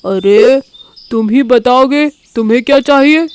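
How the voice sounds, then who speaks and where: A woman voicing a grumbling, hungry lion king in an animated moral story, with drawn-out, sulky delivery. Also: high-pitched, clear, resonant tone. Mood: eager.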